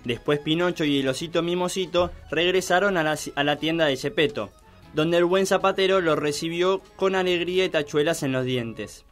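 A man's voice: fairly high pitch, normal speed, faint music behind. A man narrating a scripted mock children's tale as a storyteller.

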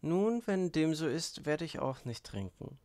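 A man's voice: a little high, expressive, a little slow, darkish tone, no echo, voice pushed up. A man voicing an impulsive, emotional young woman in an audiobook, speaking in an exclamatory, effusive way and repeating herself. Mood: startled, pious.

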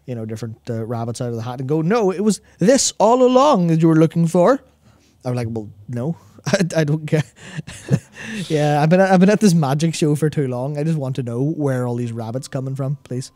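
A man's voice: on the high side, expressive, fairly quick, a little mellow. A young man co-hosting a gaming talk show, thinking aloud in casual discussion and often repeating words as he goes. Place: small indoor studio with acoustic foam panels. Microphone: broadcast mic on a boom arm, close.

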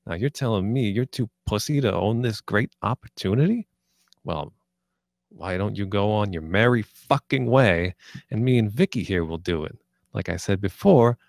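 Man playing a low-voiced, cocky drug dealer. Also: vocal fry, a little mellow, no room sound, clean studio sound. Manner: sarcastic, foul-mouthed, taunting. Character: cocky, menacing 29-year-old male drug dealer. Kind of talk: amateur screenplay table read.

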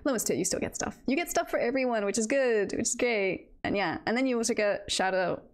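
A woman's voice: on the high side, a little fast. Giggly, rambling female live streamer. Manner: giggly, nervous and excited, rambling. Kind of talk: casual live stream chat.

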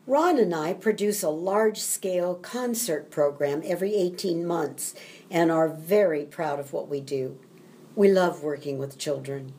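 Older woman with a lower-pitched voice. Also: a little slow, mellow. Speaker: acting and tap dance teacher. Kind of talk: scripted first-person narration.

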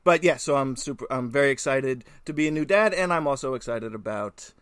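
A man's voice: mid-range voice, fairly quick, a bit bright, clear, resonant tone, no room sound, studio quality. A man co-hosting a podcast, chatting casually and telling anecdotes. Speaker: podcast co-host.

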